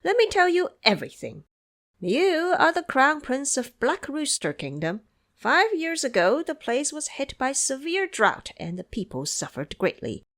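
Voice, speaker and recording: A woman acts out a cocky little magical monkey for an audio drama. The voice jumps high and swoops widely, teasing and leaning on words with theatrical relish. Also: wildly swooping pitch, measured pace, fairly bright tone, heavily acted. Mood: sly, explanatory. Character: cocky magical monkey disciple posing as tiny oracle.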